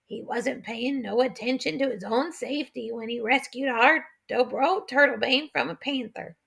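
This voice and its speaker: A hearty old chief of a swamp tribe, played by a woman narrator, proud and ceremonious in a storybook adventure. The voice is high and gravelly, with rustic backwoods grammar and a folksy, speechmaking delivery. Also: moderate pace, fairly bright tone, exaggerated voice. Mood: proud, declaiming. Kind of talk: children's adventure audiobook character voice.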